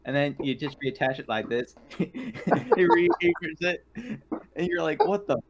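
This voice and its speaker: A man talking casually in character as a player in a tabletop game, with a loose, halting, colloquial delivery. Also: fairly high pitch, animated, noisy background.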